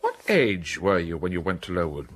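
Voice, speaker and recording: An actor playing an imperious gentleman in a radio drama, questioning curtly. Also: low voice, sing-song, normal speed, heavily acted. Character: brusque, commanding gentleman of the manor.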